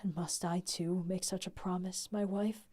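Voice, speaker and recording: A woman voicing a grieving husband who pleads in a hushed, anguished way. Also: lowish voice, fairly even intonation, normal speed, close to an everyday voice.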